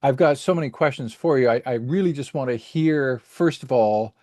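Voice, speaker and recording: A man's voice: medium pitch, normal speed, darkish tone, no room sound, studio quality. A man from Canada hosting a podcast interview, asking questions in a friendly, hesitant way with many fillers. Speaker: podcast host.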